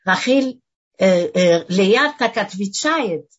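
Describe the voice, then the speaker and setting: An older woman whose first language is French, teaching a religious lesson and often pausing to search for words. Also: mid-range voice, expressive, breathy edge, muffled recording.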